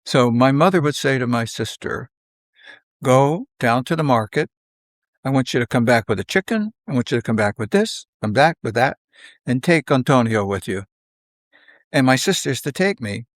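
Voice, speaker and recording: An older man reminisces conversationally, in halting, unhurried phrases, often acting out bits of dialogue. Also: mid-range voice, animated, no echo. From South Philadelphia, United States. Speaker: podcast guest.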